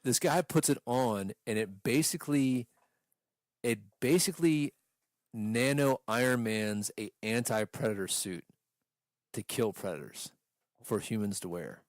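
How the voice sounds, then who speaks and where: A man with a mid-range voice rants casually like a podcast host. He swears freely and tells things in an animated, exasperated way. The sound is dry, with very little room to it. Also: mid-range voice, a little slow, no room sound. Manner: profane, exasperated, animated storytelling. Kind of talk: casual movie rant chat.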